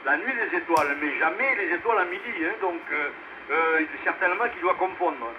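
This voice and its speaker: A man with a slightly high-pitched voice hosts an amateur radio net in a casual, hesitant way. His halting speech comes through a thin, narrowband two-way radio signal with clear noise over it. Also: expressive. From France. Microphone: two-way radio microphone. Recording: noisy narrowband amateur radio relay transmission.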